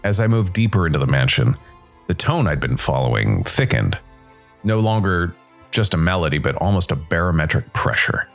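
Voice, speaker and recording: Man playing a world-weary noir investigator, deep voice, brooding dramatic narration, deliberate pauses, music underneath. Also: very animated, gravelly, full character voice. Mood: brooding, tense. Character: world-weary supernatural noir detective, male jazz musician working for Death. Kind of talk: scripted first-person noir story narration.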